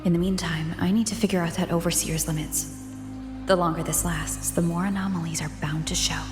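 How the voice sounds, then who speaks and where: An actress voicing a composed, analytical and guarded heroine in a video game. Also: female voice, fairly low pitch, steady tone, a little fast, lightly acted. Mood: pensive, resolute. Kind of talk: video game voice acting, inner monologue and dialogue. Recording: echoey long reverb, music behind. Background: game music.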